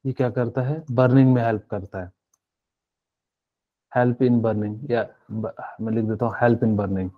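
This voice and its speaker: A man's voice: medium pitch, fairly even intonation, a little slow, phone-quality sound. A male chemistry teacher giving a live online class, explaining step by step with many fillers and asking students questions.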